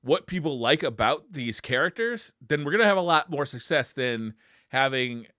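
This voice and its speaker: Bright, animated man chatting. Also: medium pitch, moderate pace, no echo, clean studio sound. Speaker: podcast co-host. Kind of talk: casual chat about games and movies.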